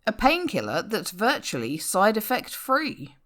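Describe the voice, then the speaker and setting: A woman reading a comic narrative poem aloud in a wry, theatrical, expressive way. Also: medium pitch, measured pace. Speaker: poetry reader. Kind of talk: dramatic spoken-word poem reading, rhyming storytelling.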